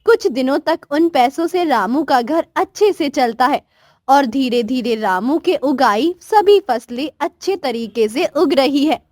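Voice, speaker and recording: A woman's voice: high voice, expressive, unhurried, a little mellow, dry recording, clean studio sound. A woman reading scripted narration for a moral story.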